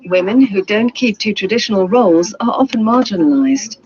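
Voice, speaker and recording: A woman's voice: medium pitch, fairly quick, a crowd in the background. A woman reading a scripted documentary narration as its narrator. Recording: dull playback with voices talking over.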